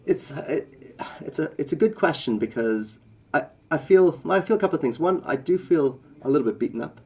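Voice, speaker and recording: A man's voice: a little high, animated, fairly quick, a little mellow. A man from India, a company co-founder, giving earnest interview answers with many fillers and list-like phrasing.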